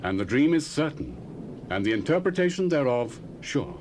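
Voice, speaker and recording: A man's voice: mid-range voice, sing-song, normal speed, a little mellow, slight background noise, natural voice. An actor playing a young male prophet, speaking in solemn, formal, old-fashioned declamation in a dramatized scripture reading.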